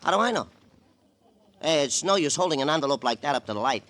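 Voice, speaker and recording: An actor playing an eager, nosy, breezy post office clerk, a little self-important, in a 1950s radio crime drama. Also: male voice, a little high, animated, quick pace, a bit bright. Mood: nosy, chatty.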